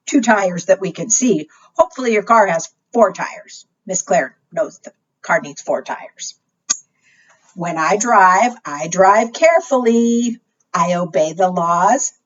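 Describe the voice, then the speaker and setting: A woman hosting a preschool storytime, reading picture books aloud in an animated, expressive way and putting simple questions to young listeners. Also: medium pitch. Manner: asks young listeners questions, simple child-directed phrasing; animated, expressive with gasps and exclamations. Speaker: library storytime host for preschoolers. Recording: muffled, distant microphone.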